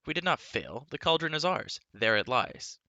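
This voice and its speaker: A man voicing a hot-tempered young hero, loud. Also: on the high side, big pitch swings, moderate pace, no room sound, dull audio, pitched above the performer's own voice. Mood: indignant. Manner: loud, accusing, indignant.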